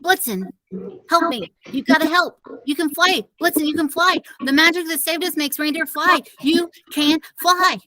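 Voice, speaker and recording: A man voicing a desperate young boy hero in a storybook, pleading and shouting. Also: extremely high pitch, normal speed, pitched above the performer's own voice, heavily acted, low-quality recording.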